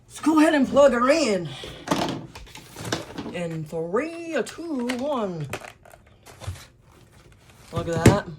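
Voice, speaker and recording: A woman's voice: a little high, big pitch swings, slow, long pauses. A woman hosting a casual, unscripted unboxing video, muttering to herself and thinking aloud as she goes. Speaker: host of gadget unboxing video. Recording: noisy recording with clicks.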